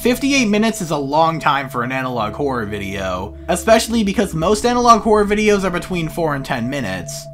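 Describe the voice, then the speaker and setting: A man's voice: a little high, fairly bright tone. A man narrating a scripted video essay in an informal, opinionated way.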